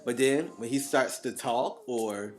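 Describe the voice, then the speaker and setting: A man co-hosting a casual chat podcast, animated and quick to laugh, with faint guitar music underneath. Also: mid-range voice. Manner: animated, laughs often, drawn-out emphasis. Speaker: podcast co-host.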